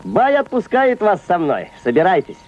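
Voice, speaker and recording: A man voicing a wily, loud folk trickster in a cartoon, with theatrical, drawn-out, exclamatory delivery. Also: high voice, wildly swooping pitch. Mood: bossy, pleased. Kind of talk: exaggerated animated cartoon voice acting. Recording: dull old cartoon soundtrack with faint music.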